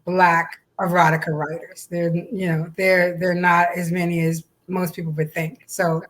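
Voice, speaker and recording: A woman's voice: lowish voice, level tone, measured pace, a bit bright, rough recording. A woman co-hosting a casual chat about an event, talking in a chatty, encouraging way. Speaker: co-host.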